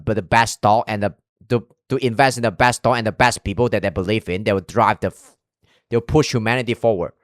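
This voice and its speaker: A man's voice: lowish voice, no room sound. Young man, Malaysian accent, casual stream chatter, rambling, close dry mic. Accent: Malaysian English. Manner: rambling, restarts, swears and laughs often. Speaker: streamer reacting to a video. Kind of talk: casual reaction commentary.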